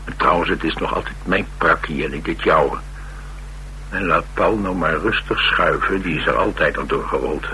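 A restless, wisecracking Dutch loner in a radio drama. His voice is very low and has a bright, forceful edge. He banters in a sardonic, streetwise way, over faint hum and hiss. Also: moderate pace, low-quality recording. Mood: cocky, teasing. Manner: flippant, sardonic, colloquial banter. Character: cocky, restless, wisecracking male loner on the run. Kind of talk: naturalistic radio play dialogue.

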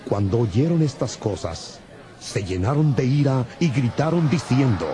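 A man's voice: mid-range voice, expressive, unhurried. A man narrating scripture from a script for a dramatized audio Bible.

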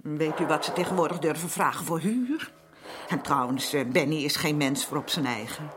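A woman's voice: sits low, moderate pace, lightly acted. An actress playing a flustered middle-aged working-class housewife and protective mother who rambles and hesitates in colloquial speech, in a naturalistic radio drama. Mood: chatty. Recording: faint noise and clicks, old radio drama.

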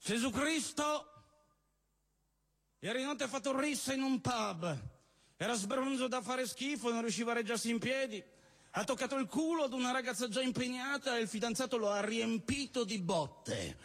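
High-pitched man declaiming satirical stage poetry. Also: animated, moderate pace, fairly bright tone. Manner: theatrical, declamatory, with abrupt asides. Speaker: stage poet and comic performer. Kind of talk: declaimed satirical spoken-word performance for a live audience. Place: live stage at a party show.

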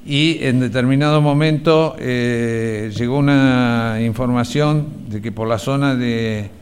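A middle-aged Argentine man giving personal testimony in a reflective, halting way, often repeating words as he searches for the next. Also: mid-range voice, smooth. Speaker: interviewee, relative of a disappeared person. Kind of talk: personal testimony, conversational recollection.

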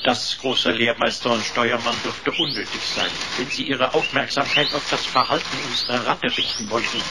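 An actor playing a pompous, servile computer assistant in a sci-fi radio play, speaking in stilted, flowery, over-formal phrases. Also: male voice, mid-range voice, steady tone, moderate pace, very noisy, lightly acted. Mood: pompous, deferential.

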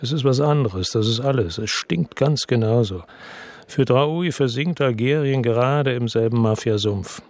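An actor playing a world-weary, bitter crime investigator who narrates and talks tersely in a radio drama, with a gravelly, husky, deadpan voice. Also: male voice, mid-range voice, normal speed, lightly acted. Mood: grim, weary. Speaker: first-person narrator and lead character in a radio drama.

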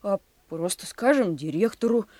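A woman's voice: medium pitch, expressive, normal speed, darkish tone, no room sound, natural voice. A woman playing a matter-of-fact schoolboy sidekick in a Soviet radio play. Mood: decisive.

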